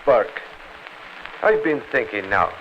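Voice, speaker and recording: An actor playing a grudging, scheming landlord who speaks in hints, in an old radio crime drama. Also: male voice, fairly low pitch, animated, slow pace, a bit bright, full character voice. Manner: insinuating, hinting. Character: scheming, grudging Irish lodging-house landlord. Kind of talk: 1950s radio drama acting. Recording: muffled, hissy old 1950s radio recording.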